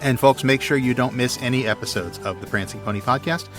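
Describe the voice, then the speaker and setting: A man with a mid-pitched voice speaks as a podcast co-host. His delivery is casual, suited to banter and sign-offs. Faint music plays behind him. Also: fairly quick.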